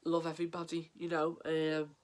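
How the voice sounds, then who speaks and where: An English man vlogging about mental health, talking in an earnest, halting way with lots of fillers and restarts, sniffly and stuffed up from a head cold. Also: on the high side, monotone. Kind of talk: casual to-camera vlog.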